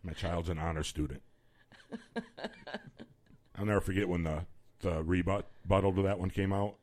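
Deep-voiced joking male radio host. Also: steady tone, moderate pace, darkish tone. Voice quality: baritone. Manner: rambling, joking, loose. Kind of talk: casual radio banter.

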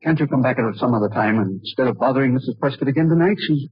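A man's voice: mid-range voice, very fast, close to an everyday voice. An actor playing an anxious, protective older father, hesitant and politely flustered, in a 1940s-style radio drama. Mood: hesitant, worried. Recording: muffled old-time radio recording.

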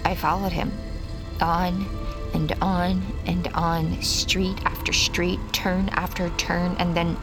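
A woman playing a haunted, obsessive mother who recounts a nightmare in halting, intense audio fiction, repeating her words. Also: on the low side, little pitch movement, over music. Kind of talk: dramatic first-person audio fiction acting.

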